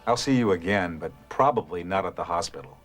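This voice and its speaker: Male heart patient played by an actor, fairly low pitch, conversational, scripted medical drama, quiet music behind. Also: expressive, fairly quick. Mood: knowing.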